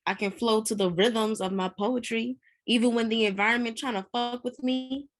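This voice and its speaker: A woman's voice: fairly high pitch, fairly even intonation, moderate pace, faint music behind, natural voice. A woman acting a bold, precocious fifteen-year-old girl with thuggish charm in a script read, complaining and slangy. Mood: cocky complaint.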